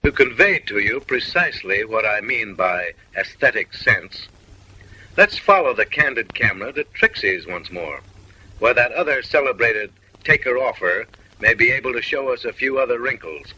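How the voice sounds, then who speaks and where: Wry, sharp-voiced male comic narrator. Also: medium pitch, animated, moderate pace, breathy edge, low-quality recording. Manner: mock-refined, wry and suggestive, lecturing the ladies with teasing asides. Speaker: film narrator. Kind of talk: wisecracking comic voice-over narration.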